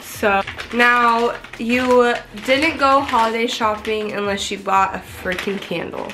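Bubbly twenties vlogger, a bit high, stuffy from a cold, talking to camera in her apartment. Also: steady tone, takes it slowly, noisy background. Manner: bubbly, chatty, swears casually. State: congested from a cold. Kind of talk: casual vlog chat to camera. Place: small apartment bedroom, indoors. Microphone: camera in front, close framing.

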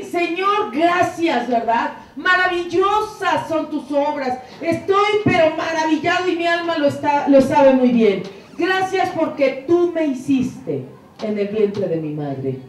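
A middle-aged woman giving an inspirational church talk on a handheld mic, fervent, moving between hushed prayerful passages and soaring emphatic lines. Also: very high voice, slight background noise. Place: room with curtained wall, indoors. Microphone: handheld mic, filmed from a distance. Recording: echoey room, camera far off.

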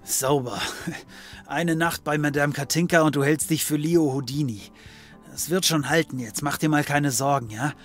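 A man's voice: on the high side, moderate pace, fairly bright tone, soft background music, lightly acted. A man playing a cocky occult detective in a comedy audio drama, wry and full of bravado. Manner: wry, cocksure. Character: cocky male occult detective, fear hidden under bravado.

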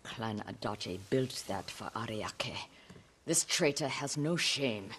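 A woman's voice: extremely low pitch, animated, takes it slowly, fairly bright tone, a little hiss or hum, exaggerated voice. An actress playing a vengeful older noblewoman warrior in a video game, intense, bitter and commanding. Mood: grim. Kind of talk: dramatic video game acting.

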